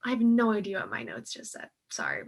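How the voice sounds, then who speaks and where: A woman's voice: medium pitch, wildly swooping pitch, a little fast, creaky. A young woman co-hosting a casual book chat, speaking informally and peppering her sentences with "like". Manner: informal, heavy use of "like". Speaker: co-host of a book read-along series.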